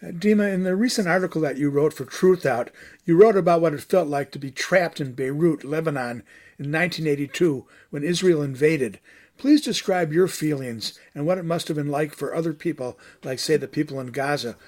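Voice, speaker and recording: A man hosting an interview, asking questions in a measured, formal manner. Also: a little high, darkish tone. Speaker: interviewer, host.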